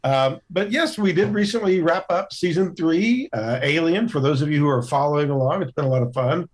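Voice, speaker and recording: A man chatting casually as a podcast cast member, in halting, thoughtful phrases. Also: fairly high pitch.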